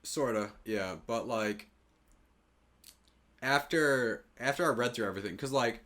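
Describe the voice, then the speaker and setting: A man's voice: medium pitch, a little slow, fairly bright tone. A young man, chatting casually and swearing freely as a podcast co-host. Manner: informal, sweary. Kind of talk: casual chat.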